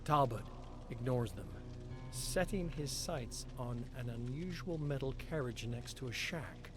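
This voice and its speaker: A man streaming, reading game lore aloud in a slow, deliberate storytelling delivery. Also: medium pitch, lively intonation. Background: clear background music.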